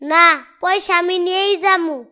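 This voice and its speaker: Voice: extremely high pitch, steady tone, normal speed, sharp tone. A performer voicing a cheeky, stubborn little cartoon boy, bold and argumentative, with a Bangladeshi accent. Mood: insistent.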